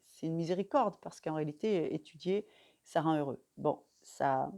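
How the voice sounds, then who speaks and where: A middle-aged woman gives a patient spoken lesson to her viewers. Her voice sits fairly low and sounds mellow. She talks in a measured way, pausing often and filling gaps with small hesitations. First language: French. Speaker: religious teacher. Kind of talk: instructional talk to camera.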